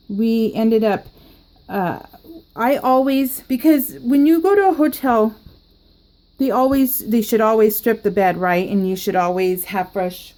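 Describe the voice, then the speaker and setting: A woman's voice: a little high, warm tone. A woman chatting casually in a crafting vlog, rambling and laughing often as she talks. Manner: giggly and rambling, with frequent laughs and restarts. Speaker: planner crafting vlogger.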